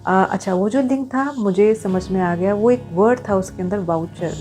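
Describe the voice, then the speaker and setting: A woman's voice: mid-range voice, moderate pace, dark tone, soft background music. A woman talking to viewers in an earnest, explanatory vlog style, mixing in English phrases. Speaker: vlogger.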